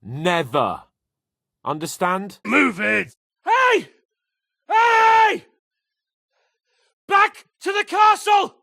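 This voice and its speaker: An actor playing a haughty, hot-headed young nobleman in a video game, pompous and sharp-tongued. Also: very high voice, expressive, extremely slow, vocal fry, long pauses, dry recording, studio quality, exaggerated voice. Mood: irritated, then shouting, indignant.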